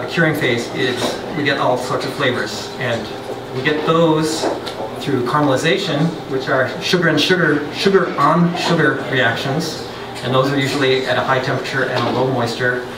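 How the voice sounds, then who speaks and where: A man's voice: medium pitch, moderate pace, hardly any pauses, far from the mic, noisy background. A man, a brewer, giving a chatty science talk to a pub audience, with joking asides and frequent restarts. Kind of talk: casual lecture to a pub audience. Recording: roomy, off-mic, loud background.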